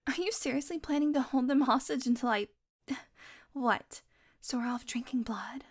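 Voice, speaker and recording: A centuries-old vampire woman, acted by a woman in an audio roleplay, speaks in a high voice straight to the listener. She is sardonic and predatory, teasing with dry sarcasm and a grudging fondness underneath. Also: moderate pace. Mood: exasperated.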